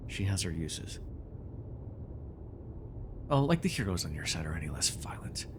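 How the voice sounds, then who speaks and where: A man's voice: low voice, very animated, background noise. A man playing a bitter, commanding telepathic villain who was once a hero, speaking with sardonic deliberation in an ASMR superhero roleplay. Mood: sardonic, irritated. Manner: sardonic, deliberate, addressing a captive.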